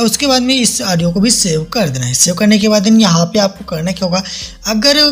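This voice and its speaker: A man hosts a step-by-step app tutorial. He guides the listener with repeated instructional phrases. Faint music runs behind his narration. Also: high-pitched. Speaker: tutorial host. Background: soft background music.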